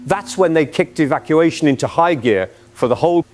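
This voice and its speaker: A man's voice: a little high, expressive, a little mellow, soft background music, natural voice. A man playing a frightened lab technician in a radio drama, giving an agitated eyewitness account. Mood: shaken, alarmed. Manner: agitated, halting disbelief.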